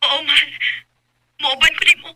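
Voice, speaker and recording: A woman's voice: high voice, fairly even intonation, normal speed, rough recording. A woman playing a devoted, emotional sweetheart in a Filipino radio drama, with a Cebuano accent. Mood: eager, tearful. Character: devoted adult sweetheart, emotional, eager to elope. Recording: loud noise behind the voice.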